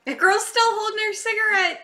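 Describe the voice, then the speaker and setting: A woman's voice: very high-pitched, fairly even intonation, brisk, sharp tone. A woman in her thirties giving casual, sarcastic, incredulous commentary as she reacts on a movie. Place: living room couch, indoors.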